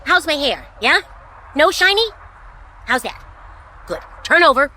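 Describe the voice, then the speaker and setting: Bright, high-voiced female TV reporter. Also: very animated, slight background noise, exaggerated voice. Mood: fussy. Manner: brisk, polished. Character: brisk, image-conscious female television reporter. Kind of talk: radio drama acting, news report.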